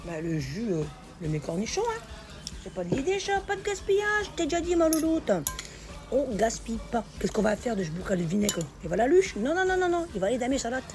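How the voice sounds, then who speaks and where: A hoarse woman chatting familiarly as she cooks, calling the viewer pet names. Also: a little high, very animated, brisk. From northern France. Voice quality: gravelly. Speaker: home cook. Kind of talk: casual cooking vlog chat. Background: background music.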